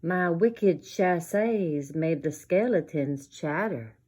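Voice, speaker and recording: A woman's voice: lowish voice, lively intonation, slow, mellow, pitched below the performer's own voice. A woman voicing a little zombie ballerina girl, dramatic and eager, in a theatrical children's storybook reading. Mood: dramatic, spooky.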